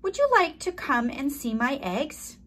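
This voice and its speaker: Storybook mother hen, played by a woman: downhearted, sighing, gentle, slow delivery. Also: sits high, big pitch swings, pitched above the performer's own voice, full character voice. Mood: hopeful, inviting. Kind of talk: children's storybook character voice.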